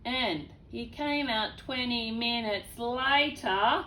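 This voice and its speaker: A woman reading a children's story aloud in an expressive way, with chatty, exclaiming asides to young listeners. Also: fairly high pitch, slow.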